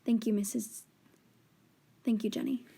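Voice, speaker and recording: Female investigator, slightly high, mellow tone, measured, polite, reassuring, radio drama interview. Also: fairly even intonation, gravelly, a little hiss or hum. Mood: composed. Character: composed, reassuring woman podcast investigator interviewing gently.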